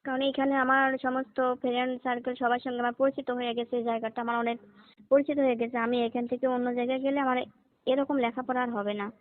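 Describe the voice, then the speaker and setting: A woman's voice: a little high, level tone, fast talker, dry recording, natural voice. A young woman playing a poor, hesitant college student in a phone-call drama, deferential and reluctant as she explains herself. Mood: pleading.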